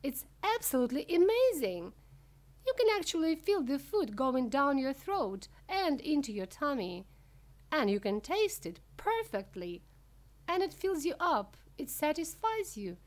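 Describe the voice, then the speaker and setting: Lady doing an eccentric candy inventor guy from a storybook, proud showman, high and swoopy. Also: big pitch swings, unhurried. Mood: enthusiastic. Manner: grandiose, emphatic showman.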